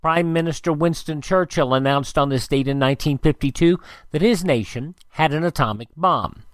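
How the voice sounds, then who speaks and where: Male radio host, fairly high voice, announcer-like narration, short asides, dry studio sound. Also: no echo. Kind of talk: radio narration of this day in history.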